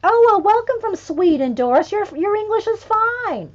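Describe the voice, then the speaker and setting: A woman hosting a casual painting livestream, chatting with viewers and muttering soft asides while she works at a table. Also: very high voice, big pitch swings, moderate pace, muffled recording. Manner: chatty, reads and answers viewer comments. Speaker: art livestream host. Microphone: overhead camera on hands and page.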